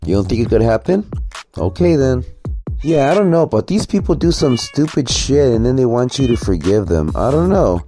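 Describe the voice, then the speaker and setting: A man's voice: medium pitch, sing-song, normal speed, mellow, faint music behind. A man giving a casual, opinionated monologue in a blunt, sarcastic manner with plenty of swearing. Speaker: commentary vlogger.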